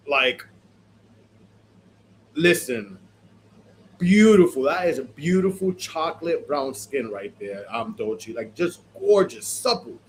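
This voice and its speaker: A man's voice: a little high, slight background noise, rough recording. Stuffy-nosed chatty podcast host man. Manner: chatty, animated, many fillers. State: stuffy, sniffling from allergies. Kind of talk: casual fashion review chat.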